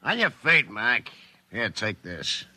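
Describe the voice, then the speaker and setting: Gruff, hard-edged American quarry laborer. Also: male voice, on the low side, sing-song, takes it slowly, bright, forceful tone, dry recording, exaggerated voice. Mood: brusque, bossy. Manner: gruff, slangy, sardonic. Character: gruff, cynical male forced-labor quarry worker bossing a newcomer. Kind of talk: 1950s American radio drama acting. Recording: vintage 1950s radio recording.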